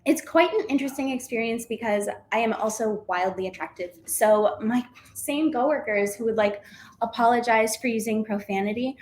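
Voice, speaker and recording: High-voiced dry stand-up comic woman. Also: moderate pace, a little mellow, a little hiss or hum. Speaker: stand-up comedian. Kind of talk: stand-up set.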